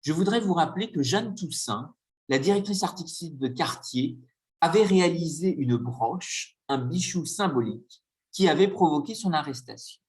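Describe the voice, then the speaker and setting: A man giving a conference lecture with slides, speaking hesitantly with frequent filler pauses. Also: a little high, animated, darkish tone.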